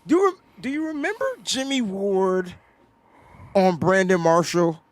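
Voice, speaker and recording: A middle-aged man hosting a casual sports talk livestream, loud and animated, laughing often, into a desk microphone in a home studio. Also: high-pitched, measured pace. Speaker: sports show host.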